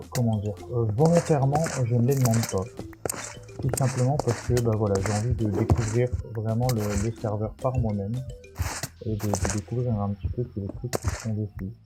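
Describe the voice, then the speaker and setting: Guy w a cold, kinda low stuffy voice, rambling minecraft commentary, lots of umms, music going in the background. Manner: hesitant, many fillers and restarts. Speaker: gaming YouTuber. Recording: music playing behind.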